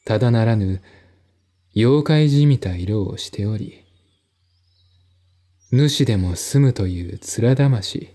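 A man reading literary narration in a measured, deliberate, formal manner, as in an audio drama. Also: fairly low pitch, lively intonation, warm tone. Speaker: narrator, solo audiobook reader.

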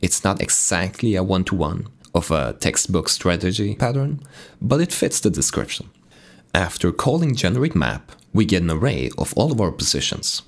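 A man with a very low, raspy voice, hoarse from being sick, talks through code in a steady tutorial. His pitch moves around a lot as he explains each step, keeping the delivery lively despite the rasp. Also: normal speed. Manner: calm explanatory walkthrough. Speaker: game dev tutorial host. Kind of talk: screen-recorded coding tutorial narration.

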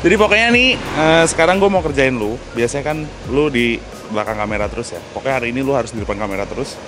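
A young man hosting a casual vlog at an outdoor garage, teasing and joking in relaxed slang. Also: medium pitch, quick pace, music in the background. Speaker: vlog host. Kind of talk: casual chat.

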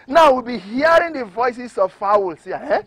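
A man's voice: extremely high pitch, lively intonation, a little slow, fairly bright tone, no room sound, full character voice. An actor with a Nigerian accent playing a blunt, accusing older village man in a film drama, scolding and questioning. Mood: scornful.

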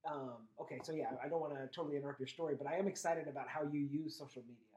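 A man in his thirties hosts a casual podcast conversation. He talks at a quick clip, and the small room puts a clear echo on his voice as he shares a tabletop microphone. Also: on the high side, darkish tone. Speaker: podcast host. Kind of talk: casual interview chat. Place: small indoor room with blinds. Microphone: shared USB tabletop microphone. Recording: echoey room.

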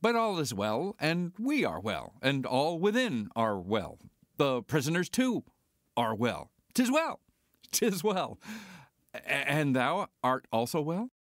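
A man's voice: on the high side, huge pitch swings, slow pace, fairly bright tone, dry recording, clean studio sound, full character voice. A man playing a cocky, irritable smuggler with sardonic delivery in a Shakespearean dramatic reading. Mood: nervous bluffing.

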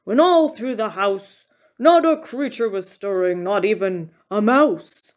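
A woman voicing a gruff, stern, pompous male bear who speaks formally in a children's storybook. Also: medium pitch, unhurried, natural voice. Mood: declaiming. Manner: pompous, declamatory, formal wording. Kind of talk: children's storybook character reading.

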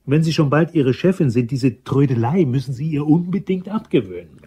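An actor in a German crime radio drama playing a prim, fussy office boss who talks in an indignant manner. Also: male voice, fairly high pitch, animated, normal speed, dark tone, slightly rough. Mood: grumbling.

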